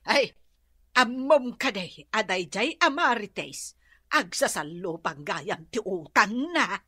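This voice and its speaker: A woman's voice: mid-range voice, big pitch swings, takes it slowly, pushed and bright, breathy edge, no echo, studio quality, full character voice. An actress playing a gossipy, boastful neighborhood woman with an Ilocano accent, exclaiming and confiding in a radio comedy drama. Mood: gossiping.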